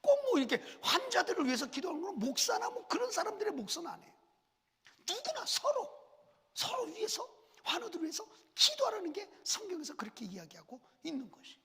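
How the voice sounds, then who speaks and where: A senior pastor preaches while fighting a cold and a cough. His voice is gravelly and swings lively up and down as he asks the congregation questions. It rings out with a long church reverb. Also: very high voice, fairly quick. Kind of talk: sermon.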